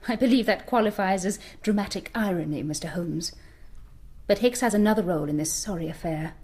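An actress playing a frank, proud, grieving Victorian stage actress in a radio drama, formal and theatrical. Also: female voice, mid-range voice, a little fast, a little mellow, husky, close to an everyday voice. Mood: bitter.

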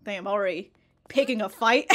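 A woman's voice: mid-range voice, normal speed, fairly bright tone. A young adult woman giving casual, blunt reaction commentary with amused, sweary asides, close on a desk microphone in a small home room. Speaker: anime reaction host. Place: small home bedroom studio.

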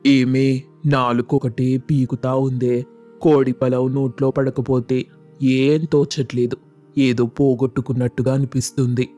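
A man's voice: medium pitch, level tone, unhurried, warm tone, faint music behind, natural voice. A man playing a food-loving, stubborn village husband in a moral story, speaking colloquially in a pleading, grumbling way. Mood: irritated. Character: food-obsessed, stubborn village husband craving chicken pulao. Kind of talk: Telugu moral story voice acting.

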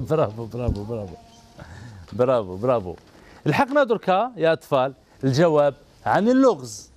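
A man's voice: medium pitch, very animated, fairly quick, no echo. Encouraging Algerian man hosting kids. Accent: Algerian Arabic. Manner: gentle, encouraging, repeats praise over and over. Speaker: children's TV show host. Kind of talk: coaxing kids on stage. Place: indoor TV studio set. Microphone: handheld mic.

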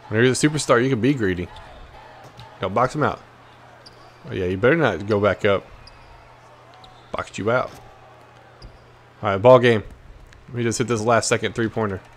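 A man's voice: fairly low pitch, slight background noise. A man hosting a gameplay video with casual, slangy commentary, repeating phrases and talking back to the game. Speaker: gaming video host.

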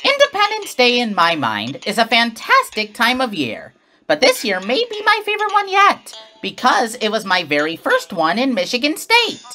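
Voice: medium pitch, wildly swooping pitch, normal speed, pushed and bright. A narrator reading a scripted children's comic read-along story aloud. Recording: music behind the voice. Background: music.

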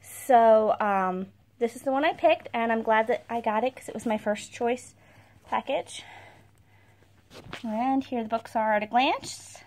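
A woman's voice: on the high side, unhurried, a little mellow. A woman hosting a book vlog, giving casual unboxing commentary in an informal, chatty way. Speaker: book blogger and video host. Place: carpeted room at home.

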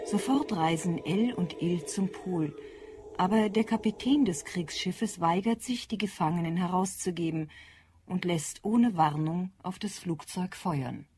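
A woman's voice: fairly low pitch, unhurried, darkish tone, a little hiss or hum. A woman reading scripted narration that links the scenes of an audio drama.

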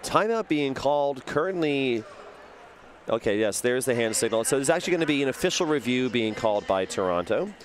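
A man's voice: mid-range voice, expressive, fairly quick, a crowd in the background. A man commentating a live roller derby game, explaining tactics and reading promos and notes. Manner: analytical, reads promos and notes. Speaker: sports commentator.

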